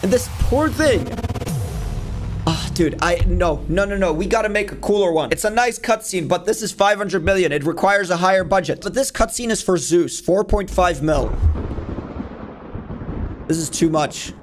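A young man with a fairly high voice hosts a casual reaction video. He comments informally and exaggerates for jokes, while music plays softly behind him. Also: expressive, normal speed. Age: 20s. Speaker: YouTube host reacting to game cutscenes. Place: small home room, indoors.

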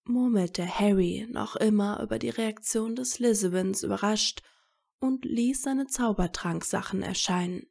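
Female audiobook narrator, unhurried delivery, relaxed tone with some vocal fry. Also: medium pitch.

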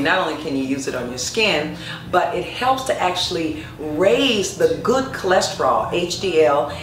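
A middle-aged woman giving a casual, encouraging cooking demonstration in her home kitchen, chatty and prone to restarts. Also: fairly low pitch. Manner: chatty, encouraging, with frequent restarts and light laughs. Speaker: cooking instructor and nutritionist. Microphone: far camera. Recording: echoey kitchen from a distance.

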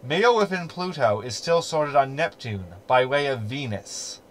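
A man's voice: mid-range voice, lively intonation, measured pace, fairly bright tone, slight background noise. A man playing a snarky, meddling hologram in a sci-fi sitcom audio drama, talking in a glib, verbose, sardonic way. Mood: lecturing. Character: snarky, meddling male hologram ship companion.

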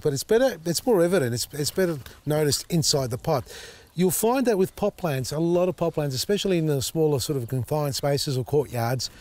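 A man, slightly husky as his voice recovers, chatting casually while demonstrating repotting outdoors in his garden. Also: fairly high pitch. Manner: chatty, thinks out loud, many fillers. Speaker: gardening show host. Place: outdoor garden beside a tall hedge.

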